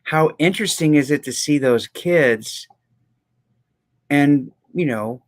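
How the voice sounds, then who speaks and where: A man's voice: fairly high pitch, slow. A man co-hosting a podcast in casual, reflective conversation, earnest and given to repeating words for emphasis. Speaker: podcast co-host.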